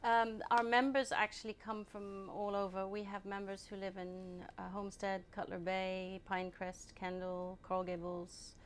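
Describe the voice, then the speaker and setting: A woman born in England, answering interview questions at a steady, measured pace and often pausing on ums. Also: mid-range voice. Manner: measured, hesitant with many ums. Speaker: guest, group founder.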